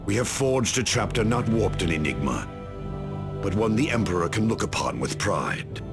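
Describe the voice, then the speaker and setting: An actor playing a proud, earnest space marine in a video game, speaking formally and declaiming with a gravelly voice. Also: male voice, fairly low pitch, big pitch swings, moderate pace, loud music behind the voice. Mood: proud, solemn. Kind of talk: dramatic game cutscene acting.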